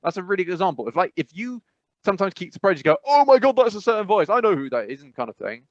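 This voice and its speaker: A man's voice: sits high, very animated, very fast, no room sound, dull audio. A man chatting casually as a podcast co-host, rambling and acting out reactions as he goes. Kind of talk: casual chat.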